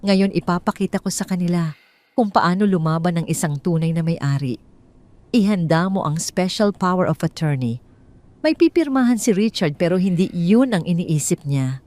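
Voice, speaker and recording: A woman narrator plays a betrayed wife in an audio drama, steely and set on revenge. Her low voice is firm and commanding, with cold resolve. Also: expressive, moderate pace, warm tone, close to an everyday voice. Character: betrayed wife, steely, coldly determined, vengeful.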